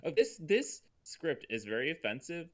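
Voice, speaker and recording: A man co-hosting a casual comedic chat, exasperated and animated, in stop-and-start phrases. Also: fairly high pitch, moderate pace, a crowd in the background. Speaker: co-host.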